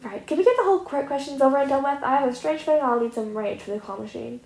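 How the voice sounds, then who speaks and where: A woman's voice: high voice, fast, creaky, a little mellow. A woman voicing a scraggly, petulant male villain who is awkward, easily offended, hesitant and indignant. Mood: awkward, whiny. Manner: indignant, hesitant, repeating himself. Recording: echoey room, audible noise.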